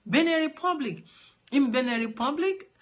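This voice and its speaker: A woman's voice: sits high, expressive, normal speed, highs cut off. A Nigerian woman whose first language is Yoruba, giving an earnest live-streamed talk from her phone, explaining at length and repeating words for emphasis. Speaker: advocate for trafficking survivors.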